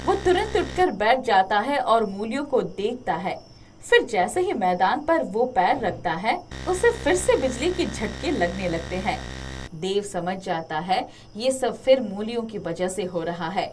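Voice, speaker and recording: A woman reads a moral tale as its narrator in a scripted storytelling style. The recording has clear noise, with birds chirping behind her. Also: mid-range voice, very animated, unhurried, darkish tone.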